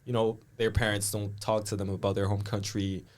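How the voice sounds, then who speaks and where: A man speaks in a low, flat voice in a casual podcast conversation. He is thoughtful and halting as he builds his point.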